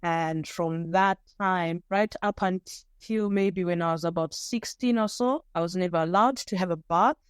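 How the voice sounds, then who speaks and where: Smooth-voiced woman from Zimbabwe. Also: lowish voice, dry recording, clean studio sound. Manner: candid, animated storytelling with pauses and restarts. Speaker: podcast guest. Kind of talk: personal storytelling interview.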